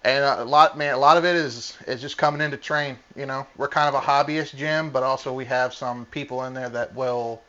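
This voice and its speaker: A man, a gym coach answering interview questions in a plain-spoken, halting way. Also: medium pitch, steady tone, a bit bright. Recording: muffled lo-fi audio.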